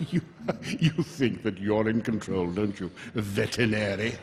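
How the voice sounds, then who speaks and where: An actor playing a defiant, panicking, theatrical villain who clings to power in a live radio drama. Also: male voice, on the low side, expressive, measured pace, a little mellow. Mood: gloating. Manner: theatrical, menacing, exclaiming. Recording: echoey hall live stage recording.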